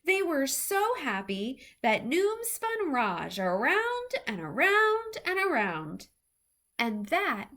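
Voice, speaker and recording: A woman reading a children's story aloud in an expressive, deliberate storytime style. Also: sits high. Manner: deliberate, animated delivery for young children.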